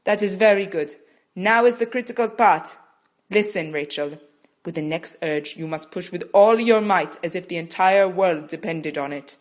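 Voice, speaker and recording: A woman's voice: fairly low pitch, moderate pace, close to an everyday voice. A woman voicing a stern, urgent female midwife who barks firm orders during a birth.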